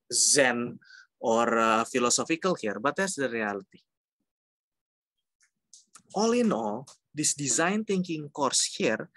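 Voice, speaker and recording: A man with an Indonesian accent teaches a class in a medium-pitched voice. He is informal and jokey, slipping into acted-out quotes and asides and dropping in Indonesian words. The sound is dry, with very little room in it. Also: fairly bright tone, no room sound. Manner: mixes Indonesian words into English. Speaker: university lecturer. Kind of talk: informal interactive lecture.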